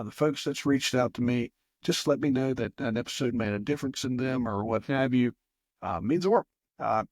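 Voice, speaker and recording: A man's voice: medium pitch, no room sound, clean studio sound. A man with a Southwest Virginia accent hosting a podcast interview, talking loosely with many fillers and restarts. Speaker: podcast host. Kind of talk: conversational interview.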